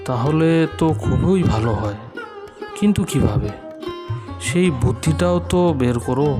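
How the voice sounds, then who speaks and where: A man voices a slow-witted, greedy village husband for an animated story. His voice is medium in pitch, with a puzzled, eager delivery. Music is clearly heard underneath. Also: natural voice.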